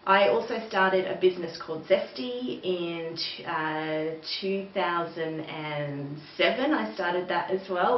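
A middle-aged woman with an Australian accent giving an informal webinar, explaining things in a conversational way. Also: on the low side. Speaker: webinar presenter. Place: small indoor room with bare wall, seated. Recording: roomy, distant mic, dull sound, faint noise.

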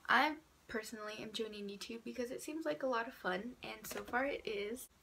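A woman's voice: on the high side, fairly quick, creaky, noisy background. An eighteen-year-old woman chatting casually to camera about herself in a small room, stuffed up from a cold, chatty and a little sarcastic. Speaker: vlogger introducing herself. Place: small indoor room by a door. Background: passing cars.

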